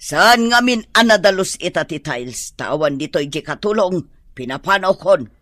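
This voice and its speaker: Casting: bossy rich madam, radio drama, swooping commanding delivery, close dry sound. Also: female voice, lowish voice, expressive, a bit bright, no echo. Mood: stern, bossy. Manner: brisk, commanding, fussy. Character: imperious, demanding wealthy mother and lady employer.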